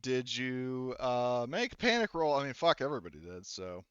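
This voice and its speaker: A man's voice: mid-range voice, big pitch swings, normal speed, smooth, dull audio. A man running a tabletop game as game master, talking casually to his players in an informal, sweary, rambling way.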